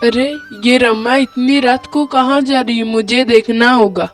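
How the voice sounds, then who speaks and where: A woman's voice: fairly high pitch, steady tone, measured pace, close to an everyday voice. A woman voicing a kind, worried old mother in a cartoon story, with a pleading, motherly manner. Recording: music bed under the voice.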